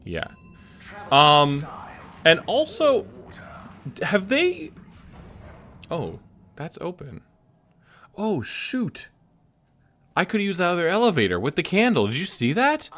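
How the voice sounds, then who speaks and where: A man's voice: high voice, wildly swooping pitch, slow pace, pauses often, pushed and bright, slight background noise. A man hosting a casual gameplay video, musing aloud and chatting about what he sees. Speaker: gaming video host. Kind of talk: casual let's play commentary.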